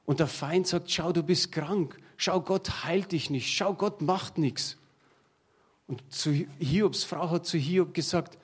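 A man's voice: a little high, slow pace, husky. A middle-aged man preaching a sermon to his congregation, talking in a plain conversational way and drawing on everyday examples. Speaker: pastor.

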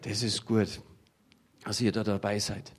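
A man's voice: fairly low pitch, little pitch movement, unhurried, slightly rough. A middle-aged man preaching a sermon to his congregation, talking in a plain conversational way and drawing on everyday examples. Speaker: pastor.

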